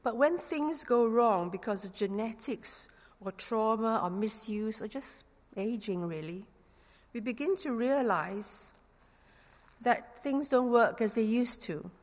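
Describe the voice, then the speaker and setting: A woman's voice: on the high side, takes it slowly. Singaporean woman preaching in a reverberant church. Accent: Singaporean English with a touch of London slang. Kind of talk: sermon.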